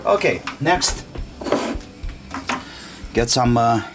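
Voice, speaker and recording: A man who speaks English as a second language, hosting a casual hands-on tutorial in simple, halting step-by-step phrases. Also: medium pitch, expressive, heavy background noise. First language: not English. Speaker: DIY tutorial host. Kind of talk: casual hands-on how-to narration. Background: music.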